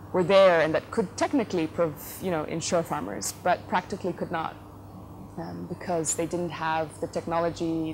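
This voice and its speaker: A middle-aged woman from the Netherlands, a company head giving thoughtful interview answers, often pausing with fillers and correcting herself. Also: low-pitched, rough recording. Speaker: company CEO, interview guest. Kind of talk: relaxed conversational interview answers. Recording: noticeable background noise.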